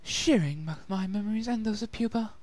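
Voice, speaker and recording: A man's voice: sits high, a little fast, darkish tone, pitched above the performer's own voice, lightly acted. Man voicing a refined, sorrowful young female pony. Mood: sad, reflective. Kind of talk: dramatic fan-fiction reading.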